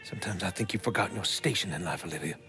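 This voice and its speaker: A man's voice: low-pitched, racing. An actor playing a haughty, hot-tempered, bigoted middle-aged Southern man in a video game, with an emphatic, oratorical delivery. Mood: indignant, angry. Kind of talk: dramatic video game acting. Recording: music behind the voice.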